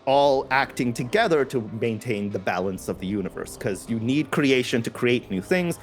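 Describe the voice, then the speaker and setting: A man's voice: mid-range voice, animated, normal speed, faint music behind. Man from India explaining, thoughtful and self-correcting. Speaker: guest. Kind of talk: casual podcast discussion.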